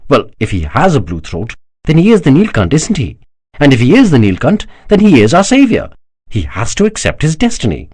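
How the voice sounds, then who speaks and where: A man's voice: medium pitch, very animated, normal speed, pitched above the performer's own voice. A man reading a proud, devout emperor who speaks with solemn courtesy and rising passion. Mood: fervent. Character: proud emperor, older man, fervent believer.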